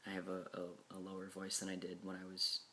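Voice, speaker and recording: A young man with a low voice that stays fairly level, speaking frankly and casually. He pauses often and repeats words as he works through his answers. A steady noise sits under the recording. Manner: frank and matter-of-fact, with many "um"s and repeats. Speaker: speaker answering class questions. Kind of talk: casual talk to camera.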